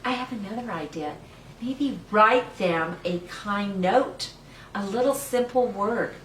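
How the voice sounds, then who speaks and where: A woman's voice: mid-range voice, slow pace, a bit bright. Older woman in a roomy, distant recording. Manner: playful, encouraging, speaks simply as to small children. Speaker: children's story time host. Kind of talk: read-aloud storytelling with chat to young children. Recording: roomy, distant mic with faint noise.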